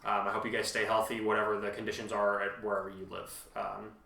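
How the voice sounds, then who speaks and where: A man hosting a casual fan discussion, talking in a rambling, halting way and often correcting himself mid-thought. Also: fairly low pitch, flat delivery, vocal fry, bright, forceful tone, distant mic. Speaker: host and fan fiction author. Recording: echoey untreated room.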